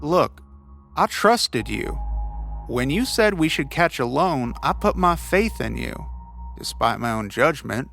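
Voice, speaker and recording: A man's voice: mid-range voice, big pitch swings, takes it slowly, creaky, a bit bright, soft background music, heavily acted. A man playing a scrappy, stubborn bug catcher pleading with a hunting partner in an audio drama, with emphatic delivery. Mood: insistent. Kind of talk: audio fiction character dialogue.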